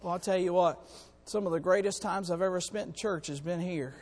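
A hoarse man on church staff preaching a sermon to his congregation, in a folksy way that calls out to them. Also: sits high, a little fast, a little mellow, big-room sound. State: hoarse, has lost part of his voice. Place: church sanctuary.

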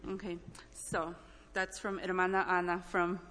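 A woman from El Salvador giving an informal church testimony in a halting, gently earnest, emotional way. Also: fairly low pitch, completely flat delivery, slight background noise. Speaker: church mission trip member giving a testimony. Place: large indoor church hall, speaking up front. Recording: echoey hall with long reverb.

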